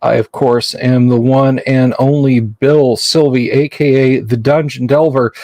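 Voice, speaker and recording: A man's voice: mid-range voice, dry recording. A man hosting a casual livestream chat, rambling and self-deprecating, often restarting his sentences. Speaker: livestream host.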